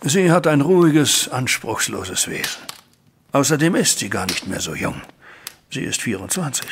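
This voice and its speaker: A man's voice: mid-range voice, very animated, normal speed, slight background noise. An actor playing an anguished middle-aged father in an audio drama, speaking in a formal, agitated and dramatic way. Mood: despairing. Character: distraught, anguished banker father recounting a family scandal. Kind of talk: German audio drama acting. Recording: studio audio drama with music underneath.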